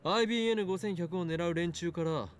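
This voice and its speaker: Man acting a pompous, quick-talking young mad scientist. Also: high-pitched, a loud crowd, lightly acted. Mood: firm, secretive. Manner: theatrical, pompous, declamatory. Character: grandiose young male self-styled mad scientist. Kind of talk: Japanese anime visual novel acting. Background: game music.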